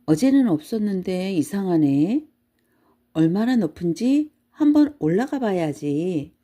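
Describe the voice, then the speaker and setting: A woman plays a young boy from a children's storybook, curious and helpful. The speech is polite and matter-of-fact, with a mellow, gentle tone. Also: medium pitch, very animated, unhurried.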